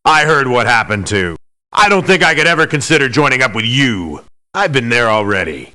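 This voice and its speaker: A man's voice: mid-range voice, big pitch swings, moderate pace, bright, forceful tone. An actor playing a cocky, demanding male elite mercenary in a video game, speaking bluntly with clipped indignation. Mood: cool, self-assured. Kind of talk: video game character voice lines.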